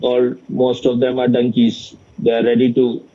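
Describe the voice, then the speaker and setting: A man giving an informal spiritual question-and-answer talk as a teacher, speaking in a halting, repetitive and bluntly opinionated way. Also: mid-range voice, monotone, mellow. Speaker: spiritual teacher in dialogue with a student. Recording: dull, lo-fi sound.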